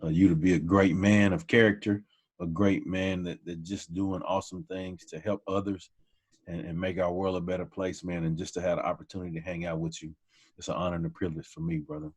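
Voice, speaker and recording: Man, forties, very low voice, casual podcast guest, humble and friendly. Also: level tone, fairly quick, husky. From Texas. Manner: warm, humble, says "man" often. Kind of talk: casual conversation.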